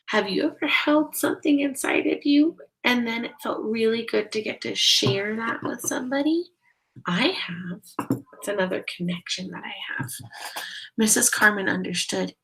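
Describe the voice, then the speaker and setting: A woman's voice: fairly high pitch, normal speed, low-quality recording. A woman reading a picture book aloud expressively, talking directly to young listeners. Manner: expressive, addressing children directly. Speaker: read-aloud storyteller.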